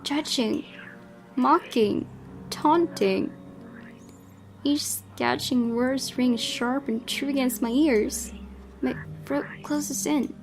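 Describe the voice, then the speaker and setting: A woman gaming host reading horror visual novel narration aloud with dramatic emphasis, often dropping into hushed, breathy tones. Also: high-pitched, takes it slowly, pauses often. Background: game music.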